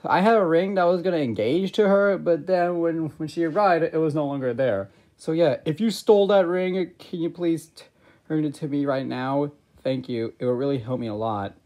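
A woman's voice: sits low, normal speed, warm tone. A girl voicing a lovesick boy plush character, rambling with many fillers. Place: home bedroom.

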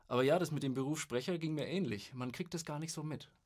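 A man's voice: a little high, normal speed, darkish tone, husky. A man hosting a podcast, interviewing in a casual, conversational style. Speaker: podcast host.

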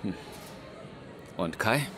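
A man playing an evasive, hesitant middle-aged schoolteacher in a German audio drama. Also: a crowd in the background. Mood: guarded, probing. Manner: hesitant, halting. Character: evasive, uneasy male schoolteacher. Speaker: actor. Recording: loud music under the voice.

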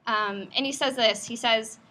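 A woman giving a conversational tech talk at an office meetup, friendly and upbeat, with plenty of fillers and questions to the audience. Her voice sits a little high and sounds bright and forceful. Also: steady tone, moderate pace. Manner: casual with frequent fillers. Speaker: meetup presenter. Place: company office meetup room.

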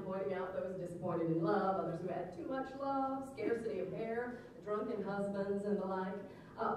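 A woman gives a theatrical reading of old-fashioned newspaper prose to a live room. Her tone is soft-edged and mellow, with an arch storytelling air. She is heard from a distance in a strongly echoing hall, with faint noise. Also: mid-range voice. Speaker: reader at a public event. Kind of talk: dramatic reading of old newspaper articles aloud to an audience. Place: hard-walled room with small stage. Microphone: stand mic, recorded by far camera. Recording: echoey hall, distant mic, some noise.

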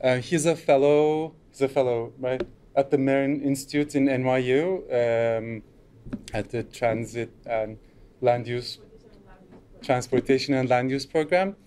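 Relaxed middle-aged man giving a talk. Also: mid-range voice, unhurried, clean tone. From Turkey. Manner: relaxed, loose delivery with frequent fillers. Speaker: conference speaker. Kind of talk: conference talk. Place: lecture room, at a lectern.

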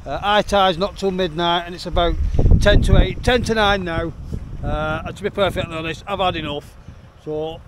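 A man's voice: high voice, steady tone, normal speed. A man hosts a casual outdoor fishing vlog, chatting to viewers in a jokey, playful, slangy way. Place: outdoors on a sea breakwater at night. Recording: noisy outdoor recording on a waterside.

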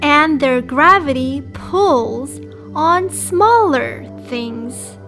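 A young woman presenting and reading for young children in an upbeat, clearly enunciated way, as a storytime host. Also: high voice, animated, drawn-out words, sharp tone, faint music behind. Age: young adult. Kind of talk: children's read-aloud and presenting.

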